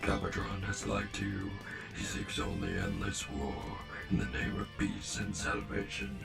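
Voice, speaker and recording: A man voicing a stern, solemn robot commander in a fan comic dub, speaking formally and deliberately. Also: low-pitched, creaky, music in the background. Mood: grave, disillusioned.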